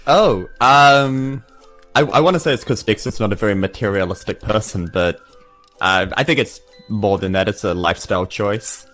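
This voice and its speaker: A man's voice: on the low side, moderate pace, fairly bright tone, faint music behind. A man, chatting casually and wryly as a player on a game podcast. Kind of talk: casual banter and explanation.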